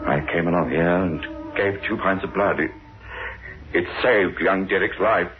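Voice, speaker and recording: An actor playing a haunted, tormented man in a 1960s radio horror drama, with dramatic, anguished, halting delivery. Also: low-pitched. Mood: brooding, haunted. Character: haunted, tormented man, a reluctant blood donor recounting a nightmare. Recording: muffled old radio recording with music.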